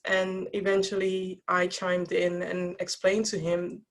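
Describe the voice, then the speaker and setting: This is a woman in her thirties whose first language is Dutch. She talks on a video call in a fairly low voice. Her delivery is earnest and reflective, with frequent restarts. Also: monotone, a little slow, rough recording. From Netherlands. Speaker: guest speaker. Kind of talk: casual interview over video call.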